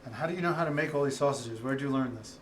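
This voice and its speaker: A man's voice: mid-range voice, fast talker, a little hiss or hum. A man hosting a lunchtime studio interview show, upbeat and enthusiastic with his guests. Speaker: talk show host.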